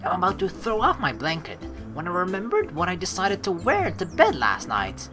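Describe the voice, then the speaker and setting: A woman's voice: lowish voice, huge pitch swings, moderate pace, music in the background. A young woman doing a playful let's play, switching between casual commentary and theatrical narration read aloud.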